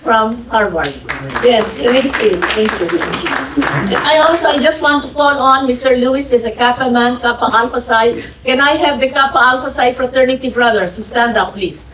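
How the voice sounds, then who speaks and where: An older woman from the Philippines with a Filipino accent, telling her story at a museum fireside chat in a halting way with frequent restarts. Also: on the high side, fairly bright tone, slight background noise. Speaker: podcast host and guest speaker. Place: museum event hall. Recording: echoey hall, distant mic.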